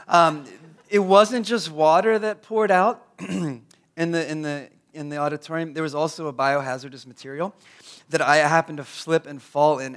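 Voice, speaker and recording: A hoarse, raspy-voiced man in his thirties, a pastor praying and then preaching, who often stumbles and repeats words. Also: on the high side, a bit bright. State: hoarse from a cold. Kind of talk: prayer and sermon.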